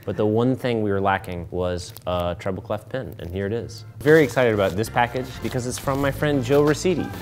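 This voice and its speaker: A young man with a fairly low voice hosts a comedy vlog, opening fan mail and tossing in dry, wry asides. Music plays clearly behind him. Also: a little fast, few pauses. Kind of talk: deadpan comedic vlog banter.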